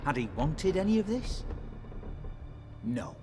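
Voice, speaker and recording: Slow, swooping occult scholar. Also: male voice, on the high side, very animated. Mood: grim, rhetorical. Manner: cryptic, rhetorical, drawn-out phrasing. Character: learned, dramatic male occult scholar explaining evil pacts. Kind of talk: theatrical video game dialogue. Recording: music behind the voice.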